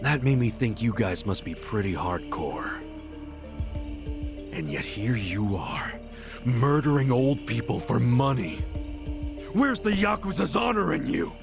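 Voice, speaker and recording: A man playing a gutsy, righteous, hot-headed low-ranking yakuza in a game cutscene, blunt and streetwise in his talk. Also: very animated, a little slow, vocal fry, long pauses, over music. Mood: reproachful. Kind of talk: dramatic game cutscene voice acting.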